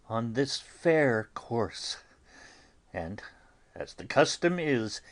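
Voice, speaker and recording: Man acting a very slow, pious friar. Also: medium pitch, fairly bright tone. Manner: sermonizing, deliberate, with long pauses. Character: stern, pious friar admonishing and consoling mourners. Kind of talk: dramatic Shakespeare audiobook reading.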